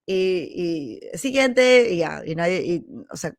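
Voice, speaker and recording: A Peruvian woman with a Peruvian accent hosting a podcast, telling personal stories in a casual, candid and animated way. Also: on the low side, slow, no echo, clean studio sound. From Peru. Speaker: podcast host. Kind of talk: casual autobiographical storytelling monologue.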